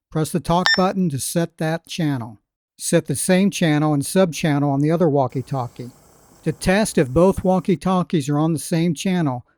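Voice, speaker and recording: A man narrating scripted product instructions in a deliberate, plain, step-by-step way. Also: a little high, a little mellow, a little hiss or hum. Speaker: product demonstrator.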